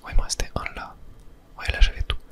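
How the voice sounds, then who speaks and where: A person whispering ASMR game commentary, thinking aloud to viewers with hesitant pauses. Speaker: gaming video host.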